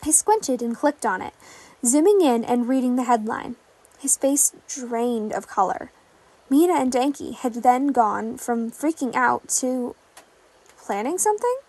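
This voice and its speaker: A woman's voice: fairly high pitch, lively intonation, measured pace, a little mellow, rough recording. A woman reading scripted fan fiction narration as a podfic. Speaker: podfic reader.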